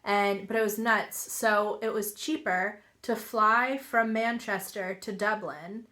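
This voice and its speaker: A woman's voice: medium pitch, fairly even intonation, takes it slowly, sharp tone. A young woman from Washington, D.C. with an American accent, chatting as a podcast guest in an easygoing way and laughing along. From Washington, D.C., United States. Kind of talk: casual chat.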